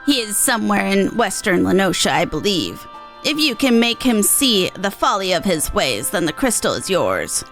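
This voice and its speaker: A woman's voice: mid-range voice, sing-song, normal speed, a bit bright, soft background music, poor audio. A woman voicing a fretful, genteel elderly widow from a game, reading the dialogue with prim, old-fashioned phrasing. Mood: businesslike.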